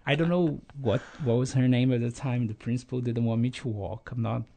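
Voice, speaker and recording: A man's voice: mid-range voice, steady tone, brisk, vocal fry, mellow. A man in his thirties from Brazil, speaking English with a Brazilian accent as an interview guest, earnest and hesitant with many fillers and restarts. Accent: Brazilian Portuguese. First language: Portuguese. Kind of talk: casual interview conversation.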